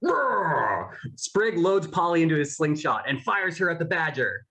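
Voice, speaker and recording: Lively narrator of cartoon stage directions. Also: medium pitch, moderate pace. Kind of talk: scripted narration of stage directions at table read.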